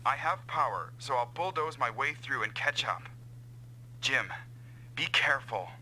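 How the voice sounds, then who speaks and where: A man's voice: mid-range voice, expressive, unhurried, sharp tone, a little hiss or hum, poor audio. An actor playing a resolute male mech pilot teammate in an anime-style game dub. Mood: tense, resolute.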